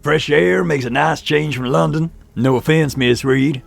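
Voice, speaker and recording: A man voicing a boastful, flirtatious Texan gentleman entrepreneur with a gravelly drawl, over faint music. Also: on the high side, steady tone, a little slow, voice pushed up, heavily acted. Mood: flirty. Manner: loud, flirtatious salesman patter.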